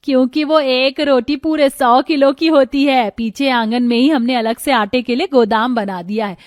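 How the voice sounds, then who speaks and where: Demanding middle-aged cartoon saas, played by a woman, high register, bossy manner. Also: moderate pace, dry recording, studio quality, natural voice. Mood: commanding.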